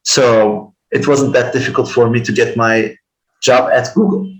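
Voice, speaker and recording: A man's voice: mid-range voice, steady tone, a little slow, low-quality recording. A man in his thirties with a Turkish accent, explaining his career step by step as an interview guest. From Turkey. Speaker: interview guest, engineer and educator.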